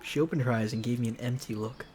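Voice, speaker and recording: A man's voice: mid-range voice, fairly even intonation, quick pace, heavy background noise. A man narrating a visual novel aloud as a let's player, casual and slangy, with joking asides. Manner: jokey, slangy. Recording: music behind the voice.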